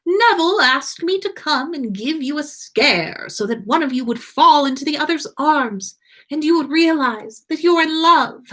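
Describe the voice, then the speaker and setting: A woman plays a cheerful old gentleman ghost from a storybook. His voice is high and swooping, airy and grandly sentimental, full of romance. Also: expressive, normal speed. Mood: cheerful, romantic.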